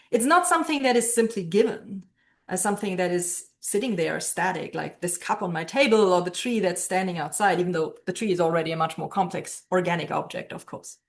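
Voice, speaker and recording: A woman speaking as an interviewed philosophy professor, explaining ideas in a thoughtful way and posing questions to herself. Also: medium pitch.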